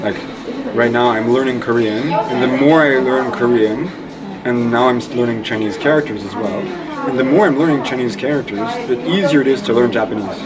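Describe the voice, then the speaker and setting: A man's voice: mid-range voice, fairly quick. Chatty young man from Eastern Canada. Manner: relaxed, rambling, lots of "like". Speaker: interview guest. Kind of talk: casual conversational interview. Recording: loud crowd noise behind.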